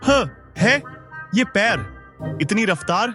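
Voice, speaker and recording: A woman voicing a slow tortoise who is amazed to be swift, with halting exclamations, in a children's cartoon story. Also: mid-range voice, sing-song, fairly bright tone, faint music behind.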